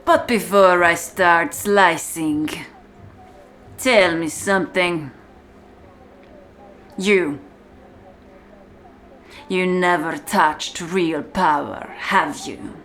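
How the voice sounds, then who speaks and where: A woman playing a contemptuous, commanding prison warden in a slow, deliberate, menacing ASMR-style roleplay monologue. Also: fairly low pitch, a bit bright, slight background noise. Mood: commanding menace. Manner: slow, deliberate, menacing, often dropping to a whisper.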